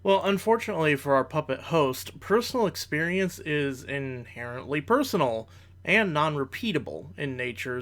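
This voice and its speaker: Measured man giving analytical commentary. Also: medium pitch, a bit bright. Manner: measured, analytical, formal wording. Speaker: reaction video commentator. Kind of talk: reaction commentary, argumentative.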